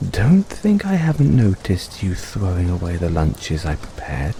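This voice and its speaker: A man playing a caring, gently teasing boyfriend in a soft-spoken, playful comfort roleplay for an overworked partner. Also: low voice, wildly swooping pitch, takes it slowly, slight background noise, exaggerated voice. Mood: teasing, fond. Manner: soft-spoken, playful, coaxing, chuckles often.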